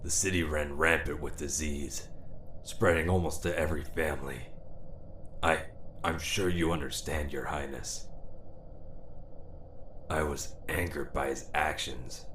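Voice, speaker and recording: A guilt-ridden, bereaved assassin, with an extremely deep voice and slow, halting speech. He pleads like a broken man in a fantasy audio drama, heard in a roomy echo. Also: steady tone, fairly bright tone. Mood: weary remorse. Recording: roomy echo with faint noise.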